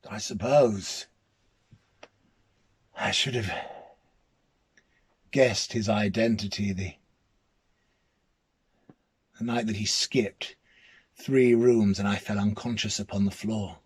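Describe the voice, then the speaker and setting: A man giving a slow, halting dramatic first-person reading of a story, with a husky voice. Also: lowish voice, fairly even intonation. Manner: hesitant, halting, repeating words.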